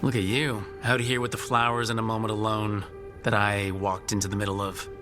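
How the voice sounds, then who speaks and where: An actor playing a resolute, caring young hero in a video game, direct and lightly wry. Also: male voice, lowish voice, level tone, a little fast, close to an everyday voice. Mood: warm, wry. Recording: clear music behind the voice.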